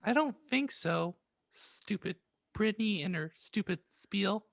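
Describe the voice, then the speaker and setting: A man's voice: sits high, lively intonation, measured pace, creaky, no room sound, clean studio sound, voice pushed down, full character voice. A man voicing a petulant, sweary teenage goth vampire girl in a comic reading. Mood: annoyed. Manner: petulant, foul-mouthed.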